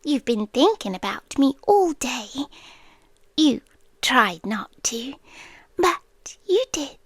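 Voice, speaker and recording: A British woman playing an obsessive, teasing yandere girlfriend in a whispered, breathy ASMR roleplay with long pauses. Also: high-pitched, sing-song, no echo, full character voice. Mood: teasing, eerie.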